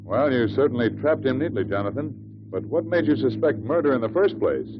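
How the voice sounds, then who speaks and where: Male actor as inquisitive colleague, admiring, conversational, animated pitch, 1950s radio acting, muffled old recording. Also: brisk, noisy background, lightly acted. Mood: impressed, curious.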